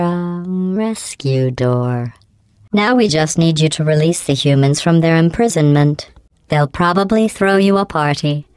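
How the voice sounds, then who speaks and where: A cold, sarcastic female AI computer talks to her test subjects in a flat, condescending, passive-aggressive tone. Her synthetic-sounding voice sits low and comes out smooth and clean. The game recording is dry and close, with no room sound. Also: dry recording. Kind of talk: video game voice clips.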